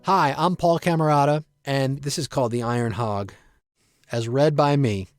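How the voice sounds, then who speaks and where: A man's voice: mid-range voice, moderate pace, soft background music. A man reading his own rhyming story aloud in a storybook narration style. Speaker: writer reading own piece.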